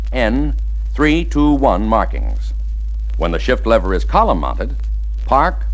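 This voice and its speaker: An American man narrates a vintage 1960s training film. His pitch moves up and down in an animated way while he delivers scripted instruction at a measured, orderly gait. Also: poor audio.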